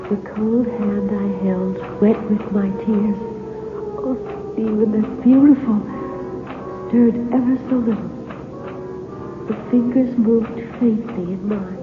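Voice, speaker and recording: A woman's voice: medium pitch, steady tone, slow pace, natural voice. An actress playing a dreamy, adoring imagined woman in a radio drama, speaking with breathless adoration. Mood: rapturous. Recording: echoey, muffled radio broadcast with music.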